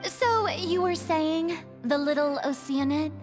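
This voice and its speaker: A woman voicing a poised, theatrical young noblewoman in a game cutscene, speaking in a drawn-out, dramatic way over music. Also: high-pitched. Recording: game soundtrack music behind.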